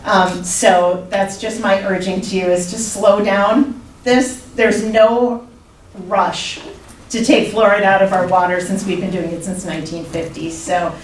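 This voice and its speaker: An older woman from the Midwest, speaking at a public comment session, earnest and urging in a measured way. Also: mid-range voice, level tone. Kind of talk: public comment at a council meeting. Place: council meeting room. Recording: echoey room, distant mic, faint noise.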